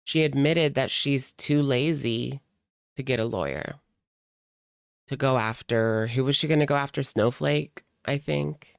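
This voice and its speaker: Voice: medium pitch, unhurried, gravelly, clean tone, no echo, studio quality. A reaction channel host talking casually in a blunt, mocking and sardonic way while commenting on a video.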